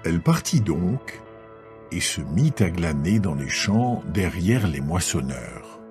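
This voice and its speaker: A man's voice: low-pitched, big pitch swings, slow pace, over music. A man narrating a dramatised scripture reading as a scripted storyteller.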